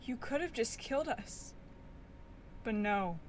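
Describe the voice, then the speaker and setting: An actress playing an anguished murder victim in a radio mystery, speaking slowly in a wounded, pleading way. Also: female voice, a little high, animated, slight background noise. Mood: bitter anguish. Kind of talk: radio mystery theatre acting.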